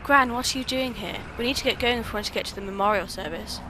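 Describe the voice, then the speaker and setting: A woman's voice: fairly high pitch, fast, pushed and bright, a little hiss or hum. A woman in a radio play acting an impatient young granddaughter, exclaiming and complaining. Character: impatient young granddaughter searching for her gran. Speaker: amateur radio play actor.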